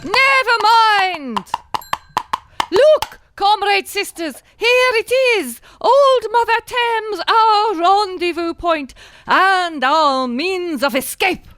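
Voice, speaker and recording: A woman's voice: very high-pitched, expressive, takes it slowly, fairly bright tone. A woman in an amateur comic radio play voicing a scheming Soviet spy disguised as a nun, barking orders in a put-on heavy Russian accent. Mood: commanding.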